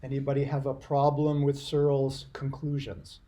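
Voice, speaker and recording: A man lecturing to a university class, explaining deliberately with emphatic repetition and checking questions to his students. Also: medium pitch, completely flat delivery, warm tone.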